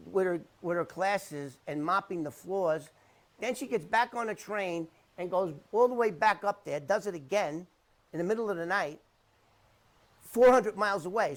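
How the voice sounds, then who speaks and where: Emphatic middle-aged man, higher-pitched voice. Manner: emphatic, repetitive, halting delivery. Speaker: interview commentator debunking someone's claims. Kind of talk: unscripted talk to camera.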